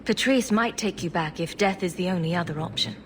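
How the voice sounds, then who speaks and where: A woman playing a calm, diplomatic young mage adventurer in a video game, speaking in an earnest, measured way. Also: on the low side, soft background music, natural voice. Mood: earnest, concerned. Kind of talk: video game cutscene acting.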